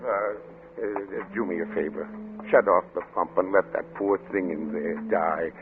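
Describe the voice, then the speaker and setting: An actor playing an anxious, kindly older country doctor who stammers and pleads, in an old-time radio drama. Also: male voice, deep voice, a little slow, narrow, tinny audio. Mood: sombre. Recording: thin, hissy old radio recording.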